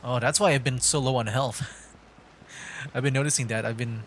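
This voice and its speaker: A man's voice: medium pitch, fairly even intonation, normal speed, slight background noise. A man doing casual live gameplay commentary as a streamer, hesitantly thinking aloud. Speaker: game streamer. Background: game music.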